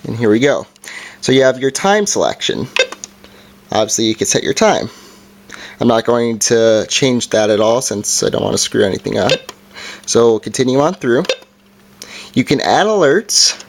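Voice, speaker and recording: A man's voice: medium pitch, very animated, normal speed, pauses often. A man giving an informal, hands-on product review in a casual explaining style, recorded indoors. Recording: background noise with handling clicks.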